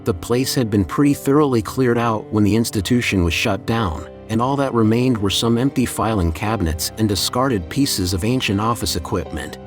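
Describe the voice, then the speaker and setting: A man with a fairly low voice reads a scripted creepypasta in the first person. His delivery is measured and deliberate, like a storyteller laying out each detail. Music plays clearly behind his narration. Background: background music.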